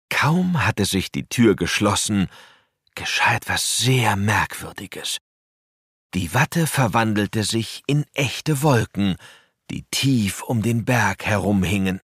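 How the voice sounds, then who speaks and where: A man's voice: on the low side, a bit bright, slightly rough, no room sound. A man narrating a scripted children's story as its storyteller, close to the microphone.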